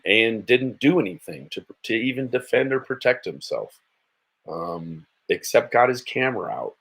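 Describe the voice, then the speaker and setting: A man's voice: deep voice, fairly bright tone. An American man hosting a casual livestream, giving blunt, mocking, sweary reaction commentary. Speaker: host of a livestream commentary show.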